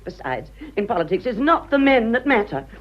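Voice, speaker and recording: An actress playing a vain, theatrical aging British society wife in a radio drama, grand, emphatic and melodramatic. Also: female voice, fairly high pitch, big pitch swings, a little fast. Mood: haughty. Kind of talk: radio drama acting of a stage comedy. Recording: dull lo-fi radio drama recording.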